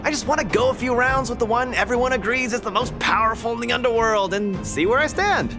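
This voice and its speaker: A man's voice: extremely high pitch, fast talker, over music. An actor playing a cheerful, cocky, earnest young male fighter in a video game, with an upbeat, breezy, eager manner. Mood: eager, confident.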